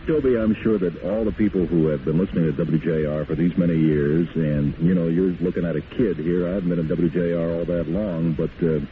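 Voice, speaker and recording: A man's voice: deep voice, steady tone, brisk, hardly any pauses. A man hosting a radio interview in a friendly, announcer-like way. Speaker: radio host. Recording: tinny, noisy old radio broadcast.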